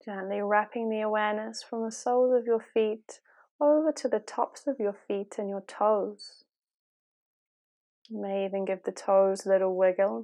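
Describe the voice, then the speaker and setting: A woman leading a guided meditation in a calm, unhurried, gently instructive way, with long pauses. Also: mid-range voice.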